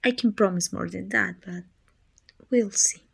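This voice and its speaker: A woman playing a dominant, haughty evil queen with a soft spot, musing imperiously in an ASMR roleplay. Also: medium pitch, unhurried, heavily acted. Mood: relieved, grudgingly fond. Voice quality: husky, breathy with whispered edges. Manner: imperious, musing, teasing condescension. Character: dominant, haughty evil queen softening toward her little helper.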